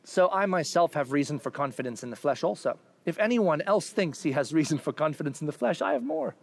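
Pastor, male, lively sermon delivery with jokes and voiced asides, slightly higher pitch. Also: brisk, gravelly. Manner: animated, voices quoted figures with playful humor.

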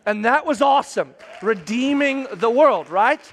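A man's voice: very high voice, expressive, unhurried. A middle-aged man, a pastor, giving an emphatic sermon and telling stories to his congregation. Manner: emphatic, storytelling preaching.

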